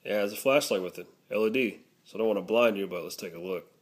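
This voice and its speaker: A man's voice: lowish voice, fast talker. Man giving a casual step-by-step product review. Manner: explanatory, step-by-step.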